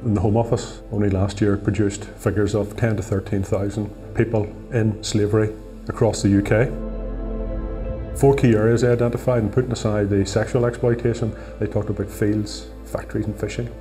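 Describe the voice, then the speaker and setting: A man in his fifties speaks in a slightly low voice, earnest and deliberate as he explains his work. He sits for an interview in an office, with soft music under him. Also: level tone. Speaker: support worker for trafficking victims. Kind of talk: documentary interview. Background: soft background music.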